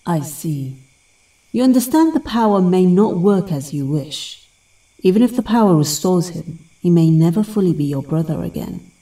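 A mellow-voiced, solemn guardian warrior played by a woman speaks with grave formality. Her wise, measured audio drama delivery sits a little back from the microphone. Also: fairly low pitch, lively intonation, recorded from a distance, natural voice, rough recording.

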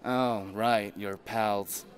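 A man's voice: fairly low pitch, steady tone, drawn-out words, clean tone. An actor playing a cynical, greedy rich businessman in an audio play, mocking and slickly persuasive. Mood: slick persuasion. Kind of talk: scripted audio play acting.

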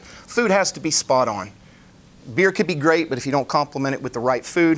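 A man in his forties presenting a business pitch live in a meeting room, plain-spoken, in short emphatic phrases. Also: fairly bright tone. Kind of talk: informal live presentation with slides. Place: indoor meeting room, standing at a lectern.

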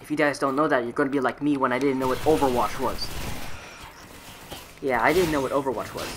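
A man's voice: medium pitch, fairly even intonation, fairly quick, background noise. A young man giving casual, rambling, self-mocking voiceover commentary on his own gameplay clips. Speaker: gaming video creator. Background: game music.

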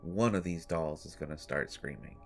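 A man playing a haunted, sleepless brother telling a horror story in first person, with halting, deliberate phrasing and long pauses. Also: very deep voice. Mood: uneasy, hushed. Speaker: audio drama storyteller. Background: music.

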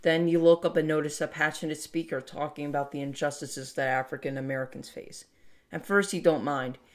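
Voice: mid-range voice, fairly even intonation, fairly quick. A narrator reading scripted storytelling that places the listener inside a scene. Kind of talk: scripted second-person scene-setting narration.

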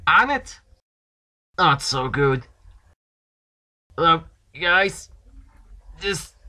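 Bright forceful man acting out a hero. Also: fairly high pitch. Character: brash wisecracking masked spider superhero. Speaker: amateur voice actor. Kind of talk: acted character voice clips for a fan cartoon.

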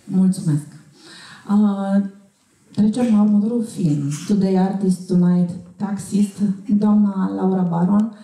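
A woman's voice: medium pitch, mellow. A middle-aged woman moderates a stage Q&A informally, thinking aloud with hesitations. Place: auditorium stage, indoors. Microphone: handheld microphone through PA. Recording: echoey hall, distant from camera.

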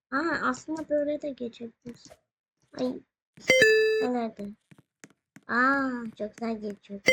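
A young female gaming host giving playful, casual commentary in a sing-song, exclaiming manner. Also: on the high side. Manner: sing-song, exclaiming, humming nonsense syllables. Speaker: young gaming video host playing alongside parent. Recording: very noisy home recording with clicks.

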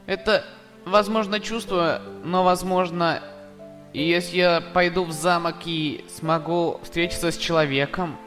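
A man as Papyrus, high-voiced anxious skeleton. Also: fairly even intonation, unhurried, music in the background. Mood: anxious, sad. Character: earnest, anxious, tender-hearted skeleton younger brother. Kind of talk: dramatic fan comic dub.

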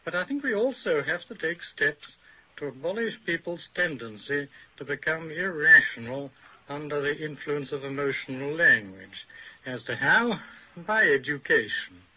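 A male professor explains his points on an old radio panel, deliberate and didactic. His voice is fairly high, very bright and cutting, with a husky edge. The recording is dull and lo-fi. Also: lively intonation. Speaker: academic expert guest, professor.

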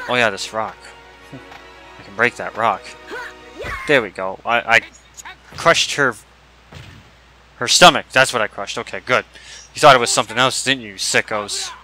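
A male gaming streamer with a bright, forward voice at an average pitch, chatting casually through a playthrough. He jokes and swears in loose slangy banter, with game music playing behind him. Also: moderate pace, pauses often, rough recording. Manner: joking, profane, slangy banter.

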